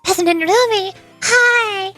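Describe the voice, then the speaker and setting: A woman's voice: very high voice, takes it slowly, bright, forceful tone, soft background music, voice pushed up, heavily acted. A woman voicing an earnest, polite little schoolteacher girl from an anime game, hesitant and easily flustered. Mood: polite, slightly miffed.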